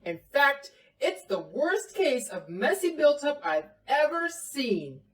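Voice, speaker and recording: Woman as firm papa bear, slow and animated. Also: high-pitched, voice pushed up, exaggerated voice. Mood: firm, lecturing. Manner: emphatic, deliberate. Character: grown father bear, firm, reasonable head of family. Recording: roomy, distant from the mic.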